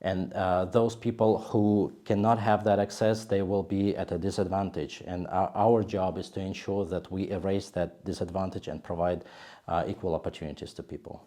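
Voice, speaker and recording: A man giving explanatory interview answers as an exams executive, in measured phrases with frequent pauses. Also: deep voice, little pitch movement, dark tone.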